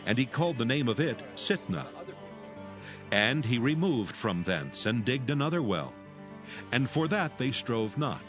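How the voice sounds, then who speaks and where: A man narrating scripture from a script in a formal, measured manner. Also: mid-range voice, sing-song. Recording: music behind. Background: music.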